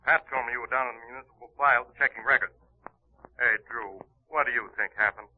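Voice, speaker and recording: An actor playing a brisk, puzzled police sergeant in an old-time radio crime drama. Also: male voice, mid-range voice, close to an everyday voice. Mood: puzzled, urgent. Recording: muffled vintage radio, tinny phone sound.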